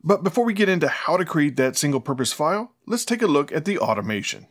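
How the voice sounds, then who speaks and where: A man's voice: a little high, very animated, fast. A middle-aged man, presenting a scripted tutorial in a plain-spoken, explanatory manner. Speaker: host of a smart home tutorial channel.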